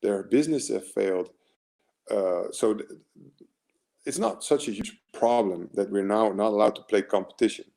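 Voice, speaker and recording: Low gravelly American man coaching. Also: moderate pace, mellow. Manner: earnest, rambling, with many fillers and restarts. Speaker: mental performance coach. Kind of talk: motivational webinar talk.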